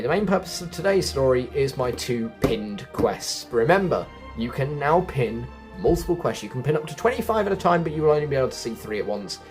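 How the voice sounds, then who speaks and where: Casting: male gaming guide host, chatty and animated in pitch, thinking aloud, light music behind. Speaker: video game guide host. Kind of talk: casual gameplay walkthrough commentary.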